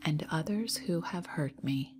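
A woman leading a guided meditation in a soft, breathy, half-whispered voice, soothing and unhurried, leaving long pauses. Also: low voice. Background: meditation music.

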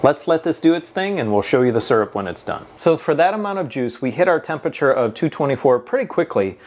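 A man's voice: fairly high pitch, sing-song, fast, darkish tone. A man, hosting a homesteading how-to vlog, explaining each step steadily to camera on a lapel mic. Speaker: homesteading channel host. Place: home workshop barn, then a kitchen, indoors. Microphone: clip-on lapel mic.

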